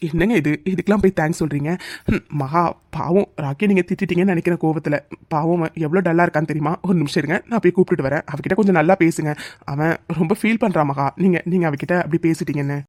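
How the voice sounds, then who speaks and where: A man acting a gentle, caring young office colleague in an emotional drama, soothing with insistent repetition. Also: a little high, fast talker, dry recording, clean studio sound, lightly acted. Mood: gently coaxing.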